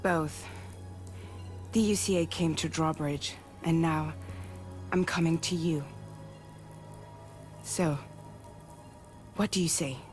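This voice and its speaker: A woman playing a grave, guarded leader of a courier group in a sci-fi game, speaking in a measured, businesslike way. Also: deep voice, steady tone, darkish tone, faint music behind. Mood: earnest, grave. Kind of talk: cinematic game dialogue.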